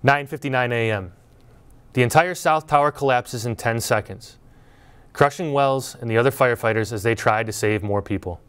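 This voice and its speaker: A middle-aged man delivers a prepared commencement speech in a measured, earnest tone. His voice is smooth and resonant, at an ordinary pitch for a man. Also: a bit bright. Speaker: school speaker at graduation. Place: indoor studio before green backdrop.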